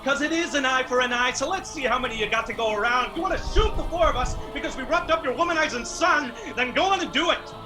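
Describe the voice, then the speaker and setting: An actor playing a fierce, proud younger feuding mountain man in a radio drama, with a Southern Appalachian accent and forceful rural speech. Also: extremely high pitch, fast, few pauses, music in the background. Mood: furious defiance.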